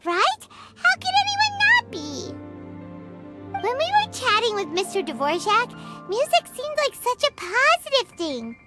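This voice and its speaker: A woman's voice: very high voice, huge pitch swings, measured pace, loud music behind the voice, exaggerated voice. An actress voicing a tiny, cheerful female fairy-like companion who chatters eagerly and calls herself by name. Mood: upbeat. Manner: bubbly, refers to herself in third person. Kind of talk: video game voice acting.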